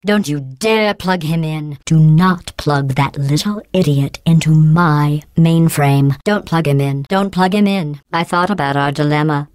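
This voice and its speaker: An actress voicing a cold, sarcastic female AI that runs test chambers, speaking in a calm, clipped, deadpan way with quiet menace. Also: deep voice, clear, resonant tone, no room sound, clean studio sound, lightly acted. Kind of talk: video game voice clips.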